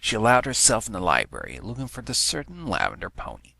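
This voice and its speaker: Guy narrating a fanfic, lowish voice with an edge to it, very dry sound. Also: wildly swooping pitch, quick pace, gravelly, bright, forceful tone, no echo. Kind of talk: scripted narration of fan fiction.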